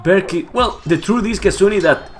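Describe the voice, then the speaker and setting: A hoarse, Spanish-native man casting a live strategy game match, getting louder and repeating himself at big plays. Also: high-pitched, normal speed, a little hiss or hum. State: hoarse, losing his voice. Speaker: esports caster. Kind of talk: excited live game commentary.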